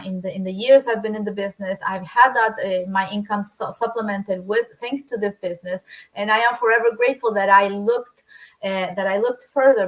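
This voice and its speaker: Spanish-accented woman, halting and earnest. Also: medium pitch, fairly bright tone, rough recording. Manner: hesitant, many restarts and fillers. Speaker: interview guest. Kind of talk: casual interview talk.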